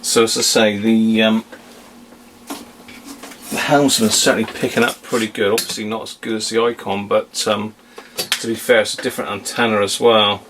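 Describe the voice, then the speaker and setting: A man's voice: on the low side, little pitch movement, normal speed, a bit bright, low-quality recording. A man giving a casual, off-the-cuff demonstration as a hobbyist host, hesitant and trailing off into muttered asides. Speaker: radio hobbyist, video host. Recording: home recording with faint background hiss.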